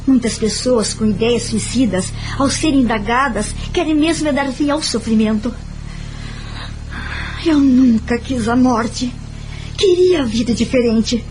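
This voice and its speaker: Actress as a high-voiced, earnest Brazilian woman friend. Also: a little mellow, close to an everyday voice, rough recording. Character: gentle, reflective woman friend recalling past suffering. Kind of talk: audio drama dialogue. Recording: music behind the voice.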